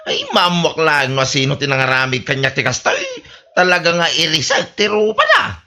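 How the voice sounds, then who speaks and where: A man's voice: fairly high pitch, sing-song, normal speed, pushed and bright, exaggerated voice. A man with an Ilocano Filipino accent playing a boastful drunkard son who complains indignantly in a comedy radio drama. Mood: wailing, aggrieved. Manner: exaggerated, wailing complaints to his mother.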